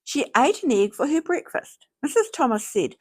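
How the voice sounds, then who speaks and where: A woman reading a children's storybook aloud in a theatrical, deliberate way. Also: high voice, big pitch swings, a little mellow. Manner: slow, theatrical, deliberate phrasing. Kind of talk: expressive scripted storybook read-aloud.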